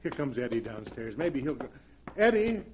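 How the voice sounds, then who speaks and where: An actor playing George, a timid, meek husband with a weak heart in a 1940s radio drama. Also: male voice, mid-range voice, very animated, moderate pace, close to an everyday voice. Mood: pleading. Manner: meek, pleading. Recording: dull old 1940s radio recording with hiss.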